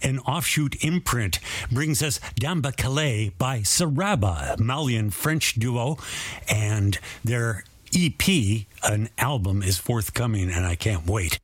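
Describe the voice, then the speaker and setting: A man's voice: mid-range voice, animated, slow, no room sound. A man hosting a radio music countdown, presenting tracks with enthusiasm. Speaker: radio music show host.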